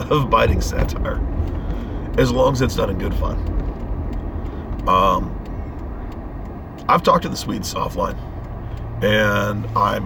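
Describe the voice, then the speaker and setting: Hoarse man with a head cold. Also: on the low side. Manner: rambling, earnest rant. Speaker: vlogger. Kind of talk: casual driving vlog. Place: inside a moving car. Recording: car road noise.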